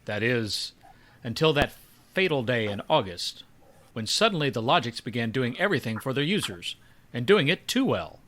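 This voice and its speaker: A man's voice: mid-range voice, sing-song, moderate pace, fairly bright tone, a little hiss or hum. A man giving scripted introduction and narration as the announcer of a radio show. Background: music.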